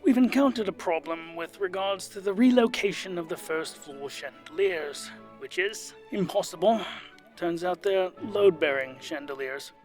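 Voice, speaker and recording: A man plays a formal household butler in a fantasy comedy audio drama, pitched up a little. He is patient and deferential, hesitating dutifully as he reports, with music behind him. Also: normal speed, close to an everyday voice. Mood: matter-of-fact, apologetic.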